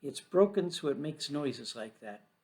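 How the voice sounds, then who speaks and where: A scornful young girl from a children's storybook, played by a man, sure she knows everything. The delivery is emphatic and scoffing, pitched on the high side. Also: lively intonation, unhurried, a little mellow. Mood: dismissive, insistent. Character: bossy know-it-all young girl, scornful and certain. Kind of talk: children's storybook read aloud.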